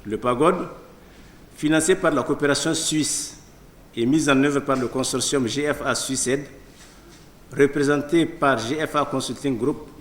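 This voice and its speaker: A man's voice: medium pitch, fairly bright tone. A man from Niger, reading a formal prepared speech as an association official. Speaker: association vice-president, traditional chief. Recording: echoey room.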